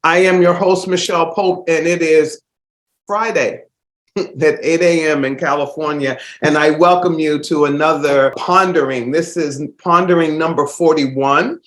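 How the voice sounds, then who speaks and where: A man's voice: fairly high pitch, steady tone, a little slow, roomy sound. A man hosting a casual solo podcast while stuffed up with a cold, rambling with fillers and restarts. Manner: rambling, warm-hearted, many fillers and restarts. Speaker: host.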